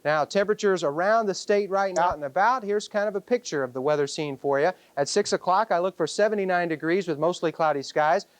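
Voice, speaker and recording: A man's voice: high-pitched, a little fast, few pauses, no room sound. Chatty 1980s TV weatherman. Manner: chatty, conversational delivery. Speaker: weather forecaster. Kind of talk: broadcast weather report.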